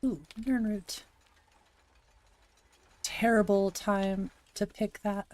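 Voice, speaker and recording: A woman from Montana with a gravelly voice, chatting casually to her live-stream audience while she plays a game, thinking aloud and answering chat. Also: medium pitch, steady tone, extremely slow, a little mellow. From Montana, USA. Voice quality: gravelly, slightly raspy.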